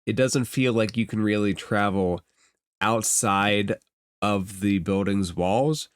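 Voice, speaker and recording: A man narrating a tabletop fantasy game as game master, describing scenes in improvised, halting phrases and thinking aloud. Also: on the low side, dry recording, clean studio sound.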